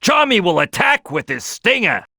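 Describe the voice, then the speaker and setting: Brash gravelly cartoon crocodile, high-pitched and forceful. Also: male voice, sing-song, moderate pace, dry recording, studio quality, full character voice. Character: big brash loud male cartoon crocodile, team leader. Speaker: video game character giving gameplay tips. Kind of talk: animated game tutorial voice lines.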